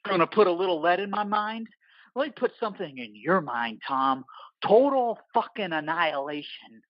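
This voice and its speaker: An unhinged gang killer acted by a man in an audio play, taunting with manic glee. The voice leaps well up in pitch, and the sound is dry and a little dull. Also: expressive, normal speed, dry recording. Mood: mocking. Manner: taunting, gleeful, maniacal laughing.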